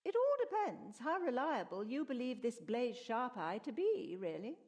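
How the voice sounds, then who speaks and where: A woman's voice: on the high side, big pitch swings, normal speed, a little mellow. A woman playing a proper, easily affronted wizard scholar in a fantasy video game, speaking formally and precisely. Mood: intrigued, analytical. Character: esteemed female wizard of Saradomin, proper and indignant scholar.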